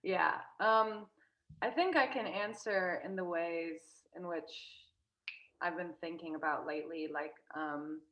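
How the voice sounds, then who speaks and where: A woman's voice: on the low side, measured pace, lo-fi sound. A young woman, a guest in a casual interview, talking hesitantly with many fillers and restarts. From United States.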